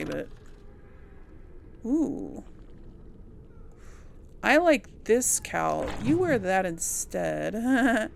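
A woman's voice: medium pitch, vocal fry, slight background noise. A woman giving casual gameplay commentary, thinking aloud in trailing fragments over game music. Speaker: gameplay host.

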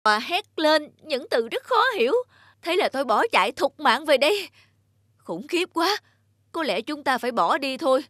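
A woman's voice: sits high, sing-song, moderate pace, a bit bright, no echo, clean studio sound. A woman voicing a frightened male robber in a cartoon fairy tale. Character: frightened robber man telling of a haunted house.

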